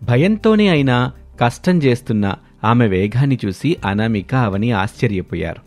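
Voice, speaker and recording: A man's voice: medium pitch, expressive, normal speed, a little mellow, soft background music. Man narrating a storybook tale.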